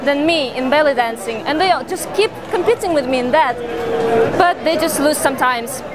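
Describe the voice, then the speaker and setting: A woman's voice: sits high, lively intonation, a little fast, loud music behind the voice, over a noisy crowd. A playful, candid woman from Kazakhstan answering a street interview question.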